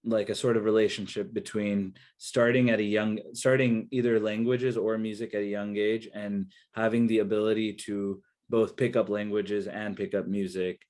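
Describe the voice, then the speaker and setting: A man's voice: fairly low pitch, flat delivery, normal speed, hardly any pauses, a little mellow. A young man whose first language is Kannada, a researcher and therapist answering interview questions in a rambling way, full of fillers and restarts. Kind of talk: casual interview talk.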